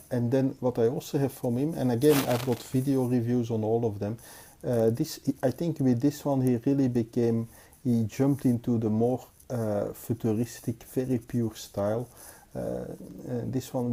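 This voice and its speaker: Mellow, dark-voiced Belgian man reviewing. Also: medium pitch, steady tone, slight background noise. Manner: hesitant, halting, unscripted delivery. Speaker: knife collector and reviewer. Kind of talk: casual hands-on review commentary. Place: indoors, at a table.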